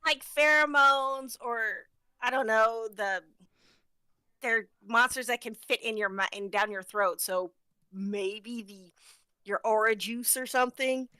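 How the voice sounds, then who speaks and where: A woman's voice: a little high, fairly even intonation, slow, pushed and bright, clear, resonant tone, dry recording. A woman in casual, playful conversation, talking informally. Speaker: audio drama after-show participant. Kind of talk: casual chat.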